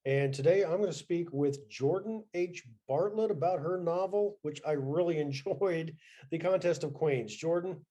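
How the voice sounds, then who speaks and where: A man hosts an interview with a fellow writer, his voice warm and mellow and a touch high. He talks in a halting way, restarting his phrases often. He sounds like he is on a video call. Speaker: interview host. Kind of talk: conversational interview.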